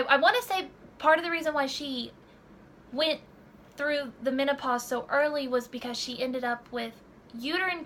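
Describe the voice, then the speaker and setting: A woman's voice: high-pitched, gravelly, sharp tone. Young woman, rambling vlog chat, lots of fillers, camera mic, faint hiss. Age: 20s. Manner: rambling, many fillers and restarts. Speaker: vlogger. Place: home room.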